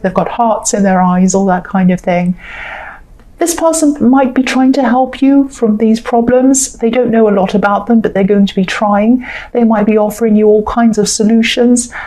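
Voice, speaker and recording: Woman tarot reader, soft mellow tone, a bit croaky, chatty and repetitive. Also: a little high, low-quality recording. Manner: repetitive, reassuring, thinking aloud with fillers. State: croaky, coughing voice. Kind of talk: casual spoken tarot reading.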